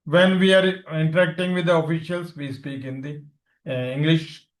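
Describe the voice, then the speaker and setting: Brief: Indian male teacher, Punjabi first language, slightly higher voice, lecturing and quizzing students, switching between Hindi and English. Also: fairly even intonation, normal speed, echoey room. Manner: explanatory, asks students questions, mixes Hindi and English. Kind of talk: online class lecture.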